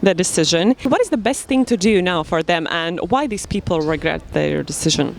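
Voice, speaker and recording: A man asks interview questions in a high voice with plenty of creak. His intonation is animated, with faint traffic behind. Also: moderate pace. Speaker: street interviewer. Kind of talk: asking prepared questions. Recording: faint street traffic noise.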